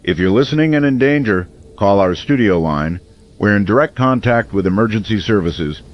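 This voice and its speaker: Dull lo-fi radio, man acting a steady host. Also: lowish voice, moderate pace, natural voice. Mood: solemn, steady. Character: steady male community radio host during a crisis. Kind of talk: audio drama radio broadcast.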